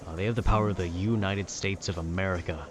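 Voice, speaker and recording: A man's voice: deep voice, steady tone, fast. A man chatting casually in a laid-back, meandering, slangy way, as if rambling to a friend about video games. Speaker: audio roleplay creator.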